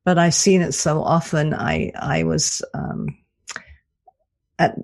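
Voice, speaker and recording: A woman author and cantor speaking in a radio interview, soft and soothing, with hesitant restarts. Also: low-pitched, steady tone, slow pace, vocal fry. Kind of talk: casual interview talk.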